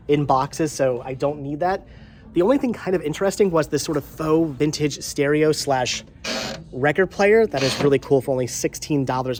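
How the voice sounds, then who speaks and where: A middle-aged man with a congested voice chats casually to viewers as a vlog host, rambling and repeating his phrases. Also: medium pitch. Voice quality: congested with a head cold.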